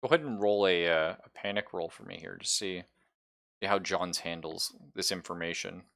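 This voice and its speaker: Guy game mastering a horror rpg, really low voice but bright and forceful, halting suspenseful delivery. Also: gravelly. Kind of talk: improvised narration of a horror tabletop roleplaying game.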